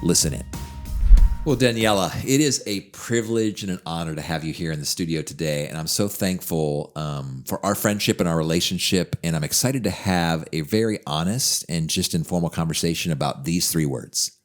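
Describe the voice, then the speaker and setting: A man hosting a podcast in a studio, speaking in earnest, measured phrases. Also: low voice, faint music behind. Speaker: podcast host. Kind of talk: podcast introduction and interview.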